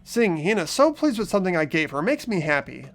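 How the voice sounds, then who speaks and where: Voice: mid-range voice, sing-song, brisk, soft background music. A performer voicing a mild, earnest teenage schoolboy who narrates and chats in a fan-voiced visual novel game. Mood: reflective.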